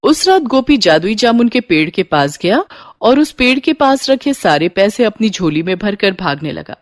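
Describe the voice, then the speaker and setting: A woman's voice: mid-range voice, sing-song, normal speed, dry recording, studio quality. A woman narrating a scripted moral story as a storyteller.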